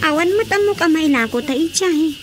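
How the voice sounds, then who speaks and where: Woman playing a high-voiced, doubtful village woman. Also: animated, brisk, music in the background. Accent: Ilocano. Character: worried, doubtful woman short of things to sell. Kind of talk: Ilocano radio drama acting.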